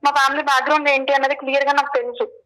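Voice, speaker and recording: A woman's voice: high voice, flat delivery, rapid-fire, fairly bright tone, poor audio. A woman, earnestly explaining a personal problem and questioning as a caller. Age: 20s.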